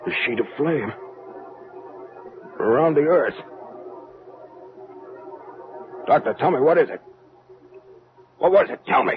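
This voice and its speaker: A man's voice: mid-range voice, lively intonation, moderate pace, natural voice. An actor playing an agitated military man in an old-time radio drama, with an urgent, demanding, emotional delivery. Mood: panicked. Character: agitated, accusing military man, younger than the scientist. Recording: tinny, band-limited old radio recording.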